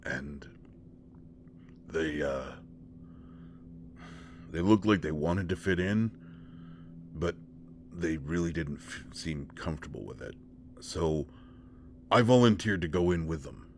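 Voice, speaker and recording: A man's voice: a bass voice, slow pace, long pauses, darkish tone, slight background noise. A man playing a shy, awkward young shapeshifter in an intimate roleplay audio drama, stammering and hesitant as he talks to a close friend. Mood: bashful, reminiscing.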